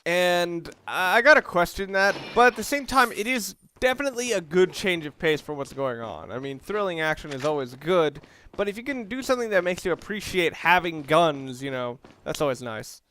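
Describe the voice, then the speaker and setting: A man giving casual video game commentary in a halting, rambling way, often rephrasing himself as he goes. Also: high voice, animated, pushed and bright, slight background noise. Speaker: video game let's player.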